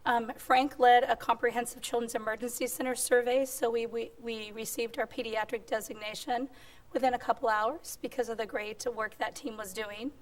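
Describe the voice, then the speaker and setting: A woman in her forties giving a conference presentation at a podium, her voice strained and squeaky, upbeat with frequent ums. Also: fairly even intonation, moderate pace. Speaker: hospital executive presenter. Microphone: podium microphone.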